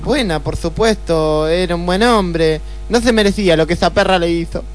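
Casting: male actor as scheming, melodramatic young woman for noir radio comedy, Argentine, high voice. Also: moderate pace, natural voice. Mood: uneasy. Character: young, scheming, spiteful femme fatale client, female. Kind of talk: comic noir radio play acting.

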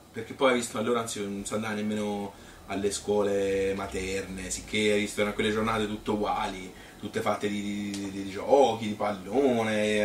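A man playing a nostalgic Tuscan father who recalls his childhood in a halting, colloquial stage monologue. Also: fairly low pitch, close to an everyday voice. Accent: Tuscan Italian. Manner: halting, stuttering over words, colloquial, animated. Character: nostalgic Tuscan father born 1951, rambling childhood memories. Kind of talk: spoken theatre monologue, folksy reminiscence. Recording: roomy theatre, distant mic, faint noise.

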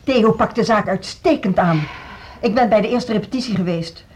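An actress playing a firm, defensive music-school leader in a radio drama, speaking formally and articulately. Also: female voice, medium pitch, moderate pace, darkish tone, lightly acted. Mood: firm, persuasive. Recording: dull, noisy old radio recording.

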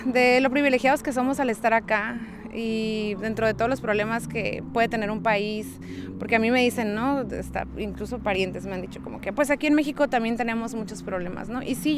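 A woman with a Mexican accent explaining as a community fundraiser in an unscripted interview, conversational and often checking for agreement. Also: mid-range voice. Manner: conversational, seeks agreement with tag questions. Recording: noisy outdoor street with passing vehicles.

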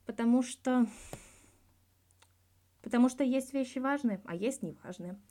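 A woman's voice: high voice, a little slow, warm tone, voice pushed up. A woman voicing a frank, colloquial male hockey star answering in an audiobook interview. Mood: earnest. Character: blunt, reflective male Russian hockey star giving an interview.

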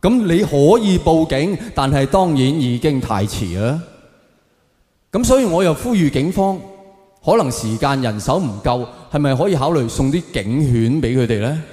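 A man's voice: on the high side, animated, moderate pace, dark tone, faint music behind. A man with a Hong Kong Cantonese accent performing stand-up, giving mock-serious opinions and putting rhetorical questions straight to his audience. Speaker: stand-up comedian. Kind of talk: stand-up comedy routine to a live audience. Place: live venue, on stage before an audience. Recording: echoey hall with long reverb.

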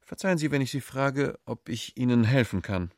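An actor playing a stiff, moralising middle-aged schoolteacher in a radio play, speaking formally and earnestly with a pedantic air. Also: male voice, medium pitch, animated, moderate pace, dry recording, studio quality, close to an everyday voice. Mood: politely concerned.

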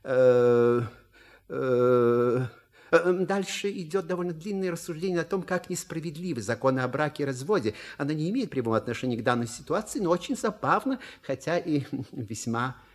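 An actor playing a suave, wry manservant with polite, measured, theatrical delivery in a stage comedy audio play. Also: male voice, a little high, expressive, lightly acted. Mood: flustered, amused. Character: suave, wry manservant reading out a scandalous letter.

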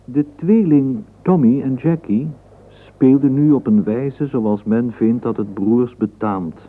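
A man's voice: mid-range voice, slow pace. A man narrating a literary radio play. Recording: muffled phone-like old radio recording, hissy.

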